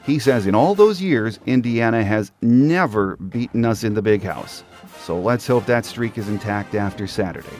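A man's voice: lowish voice, lively intonation, moderate pace, a little mellow, faint music behind. A man hosting a sports podcast, presenting commentary and interviewing a guest. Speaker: podcast host.

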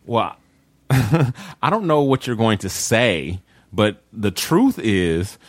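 Slow-paced man with lively intonation. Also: fairly low pitch. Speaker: talk show host. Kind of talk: casual panel chat.

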